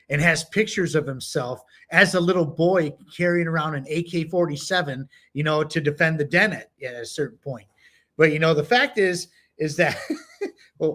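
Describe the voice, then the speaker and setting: A man's voice: a little high, a bit bright. A man co-hosting a podcast, chatting casually with many fillers and reading from notes. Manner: rambling, many "you know" fillers. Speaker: podcast co-host. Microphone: home computer mic, no camera.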